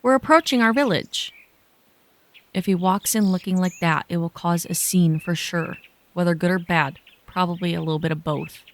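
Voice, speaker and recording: A woman's voice: lowish voice, normal speed, natural voice. A woman playing a young, teasing yet guarded village guide in a sci-fi audio drama. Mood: practical.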